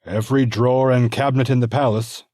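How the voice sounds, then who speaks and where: Hesitant deferential messenger as a man puts him on, raised pitch, dry close sound. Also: steady tone, fast talker, a little mellow, no room sound, close to an everyday voice. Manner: hesitant, apologetic. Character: nervous, deferential male messenger soldier.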